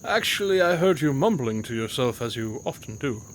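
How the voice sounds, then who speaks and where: An actor playing a shrewd, composed detective in an audio drama, with formal, precise, theatrical diction. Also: male voice, medium pitch, big pitch swings, moderate pace, slight background noise, natural voice. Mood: composed, explaining. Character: shrewd, composed male detective, commanding and quick-witted.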